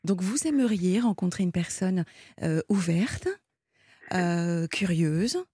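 A woman hosting a live radio call-in show in a conversational way, gently coaxing and attentive, speaking close to a studio microphone. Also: on the low side, lively intonation, slow, breathy edge, no room sound.

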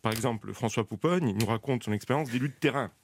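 A man's voice: mid-range voice, quick pace. A man answering as an interview guest in a radio studio, analytical, often restarting and repeating words as he explains. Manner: hesitant starts, repeats words while explaining. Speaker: studio guest, TV channel executive.

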